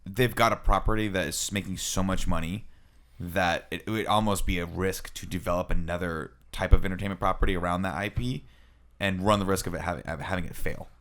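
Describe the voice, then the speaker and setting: A man's voice: sits low, steady tone, fairly quick, fairly bright tone. A man co-hosting a podcast, talking casually in a group conversation. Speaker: podcast co-host.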